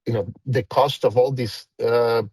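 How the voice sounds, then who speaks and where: Man, fifties, Costa Rican accent, mid-pitched, casual rambling talk, dry close sound. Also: fairly even intonation, unhurried, darkish tone, slightly rough, no echo. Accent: Costa Rican Spanish. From Costa Rica. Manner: rambling, many fillers and restarts. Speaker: guest, company founder. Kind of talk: casual interview chat.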